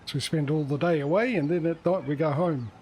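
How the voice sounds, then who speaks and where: A man's voice: on the high side, brisk, a little mellow. Rambling man livestreamer with faint background noise. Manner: rambling, hesitant, self-correcting. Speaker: livestream host. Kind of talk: casual livestream chat.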